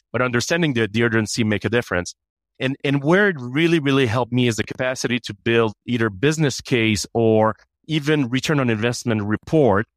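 A man talks as a guest in a business interview. He is earnest and often repeats words as he restarts a thought. His voice sits at a middle pitch, and the room sound is dry and close. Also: a bit bright, dry recording. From Canada. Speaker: guest, chief people officer.

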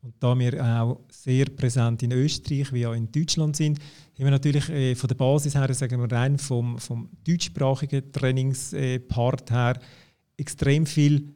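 A man's voice: mid-range voice, fairly even intonation, moderate pace, dark tone. A man with a Swiss German accent explaining company services in a business conversation, often hesitating and restarting sentences. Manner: hesitant, many fillers and restarts, "ich sage jetzt mal". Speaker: company representative for education and partners.

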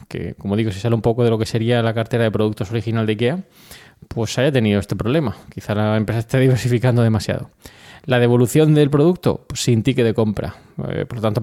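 A man with a soft, dark-toned voice and a Castilian accent from Spain. His pitch sits in the middle of the male range. He hosts a podcast alone, chatting informally and explaining as he addresses the listeners directly. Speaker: podcast host. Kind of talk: informal solo podcast talk.

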